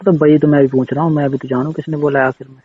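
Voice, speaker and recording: A man's voice: fairly high pitch, flat delivery, rapid-fire, husky. A man on a casual personal phone call, defensive and pleading as he repeats himself. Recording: dull, slightly muffled call recording.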